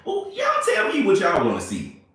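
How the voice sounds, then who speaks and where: A man, chatting playfully with viewers on a livestream, a little hoarse and congested. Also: high voice, huge pitch swings, moderate pace, bright, forceful tone. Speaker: livestream host. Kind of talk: casual livestream chat with viewers. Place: small bright room indoors. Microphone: phone at arm's length. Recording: very echoey room.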